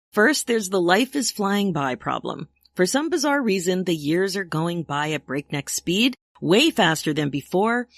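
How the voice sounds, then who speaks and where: Woman, 60s, podcast coach; lively chatty delivery, dead-dry close mic. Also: on the low side, no echo. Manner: upbeat, chatty, laughs often. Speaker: podcast host and midlife coach. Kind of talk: solo podcast monologue.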